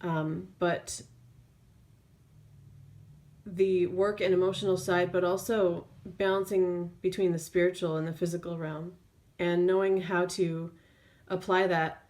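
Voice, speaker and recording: Woman giving an unscripted tarot reading, lowish voice. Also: little pitch movement, a little slow, a little mellow. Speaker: tarot reader. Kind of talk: intuitive tarot reading, spoken off the cuff.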